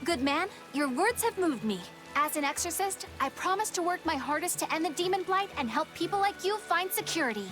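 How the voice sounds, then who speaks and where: A woman's voice: very high-pitched, lively intonation, a little fast, few pauses. A woman playing an earnest, polite, dutiful young exorcist in a video game, speaking sincerely. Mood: earnest, resolute. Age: young adult. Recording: music behind.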